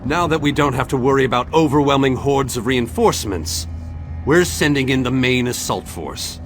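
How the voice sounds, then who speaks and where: A man's voice: mid-range voice, lively intonation, moderate pace, soft background music, natural voice. A man acting an earnest ally in a fantasy game who briefs a friend on a mission in an encouraging way. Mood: earnest, urgent. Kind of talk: scripted fantasy game dialogue.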